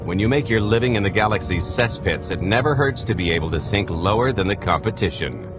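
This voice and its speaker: A man's voice: lowish voice, animated, fairly quick, lightly acted. An actor playing a cocky, wisecracking male rogue in a video game, glib and sardonic. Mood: smug. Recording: dialogue under background music.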